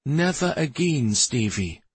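A man acts out a young noblewoman from a fantasy audiobook. She is loving, teasing and hungry for adventure. Her voice sits high and swings expressively, with a slightly husky edge. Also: big pitch swings, slow pace, dry recording, heavily acted. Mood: firm, tender. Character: adventurous, reassuring young noblewoman, a devoted wife.

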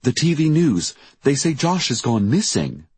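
A man's voice: medium pitch, big pitch swings, moderate pace, darkish tone, breathy edge, no room sound, voice pushed up, full character voice. A man voicing an anxious, tearful mother who frets and protests about her missing son in an audiobook story. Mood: panicked.

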